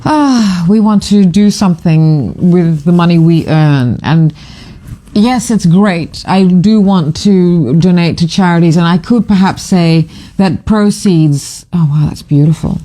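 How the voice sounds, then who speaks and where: Middle-aged photographer, from Fiji, warm mellow voice, rambling, gushing, casual talk to camera. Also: mid-range voice, measured pace. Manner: rambling and digressive, with many fillers and restarts; gushing, enthusiastic. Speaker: photographer and author presenting a book. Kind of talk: casual live commentary to camera.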